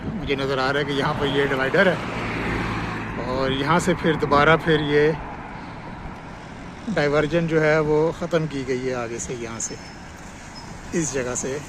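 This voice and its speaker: A man's voice: on the high side, fairly even intonation, a little slow, long pauses. A man with a Pakistani accent giving a matter-of-fact, explanatory on-site road update as a vlogger outdoors. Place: outdoors on a roadside by construction works. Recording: loud outdoor traffic and vehicle noise. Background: traffic, vehicles, outdoor ambience.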